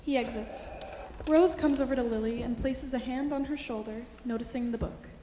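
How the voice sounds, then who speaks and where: A woman's voice: fairly high pitch, moderate pace, a little hiss or hum. A woman reading scripted stage directions as narration in a theater. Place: high school theater. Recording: echoey hall, loud piano music behind.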